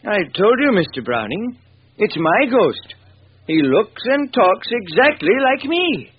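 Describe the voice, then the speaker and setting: An actor playing a formal, imperious and superstitious wealthy chemist in an old-time radio drama, on a muffled, noisy recording. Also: male voice, high voice, sing-song, measured pace, slightly rough, no echo, full character voice. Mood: grave, uneasy.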